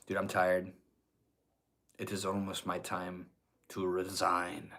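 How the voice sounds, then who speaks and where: A man's voice: deep voice, monotone, slow pace, fairly bright tone. A young man with a stuffy nose, casually chatting with his livestream viewers and swearing freely. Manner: laid-back, swears often, chats with viewers. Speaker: livestreamer reading chat.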